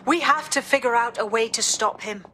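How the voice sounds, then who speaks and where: An actress playing a calm, determined female resistance leader with a steady manner. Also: a little high, normal speed, noisy background, lightly acted. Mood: resolute.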